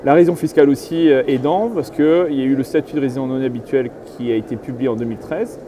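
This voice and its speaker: Middle-aged man interviewed over clear background noise. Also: medium pitch, steady tone, fast. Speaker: real estate agent and consultant being interviewed. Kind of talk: spontaneous interview answers.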